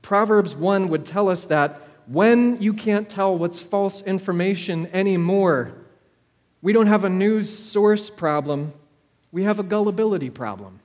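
A high-voiced man delivers a sermon in a space with long, ringing reverb. He preaches like a storyteller, measured and deliberate. Dramatic pauses and repeated phrases shape his delivery. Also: darkish tone.

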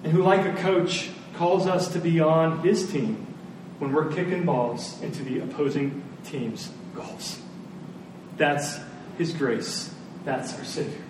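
A roomy, slightly noisy recording of a man delivering a sermon. He is a pastor with a high-set voice, earnest and emphatic, repeating his key phrases for weight. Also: slow pace. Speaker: pastor preaching to his church. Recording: roomy, distant mic, faint noise.

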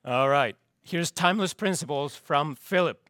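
A man preaching a sermon as pastor, his voice straining and hoarse, with slow, emphatic delivery that repeats key phrases and drops low at the ends of lines. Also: a bit bright, no room sound, studio quality. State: losing his voice, clearing throat.

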